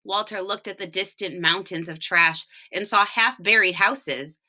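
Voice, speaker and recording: A woman reading a children's storybook aloud, with expressive, deliberate phrasing and short explanations. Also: mid-range voice. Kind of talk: read-aloud storytelling with brief picture explanations.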